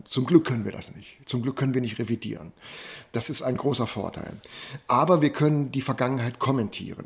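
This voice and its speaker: A man's voice: mid-range voice, gravelly. A man gives reflective interview commentary in a thoughtful way, repeating points for emphasis.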